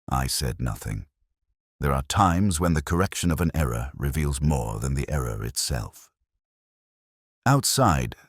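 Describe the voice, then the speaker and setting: A man narrating a scripted first-person detective story in a measured, solemn, literary manner. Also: rumbling low, dry recording, studio quality.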